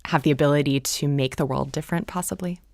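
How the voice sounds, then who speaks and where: A woman's voice: sits low, fast, no echo, studio quality. A woman playwright explaining her work thoughtfully in a panel discussion. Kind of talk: panel discussion about a play.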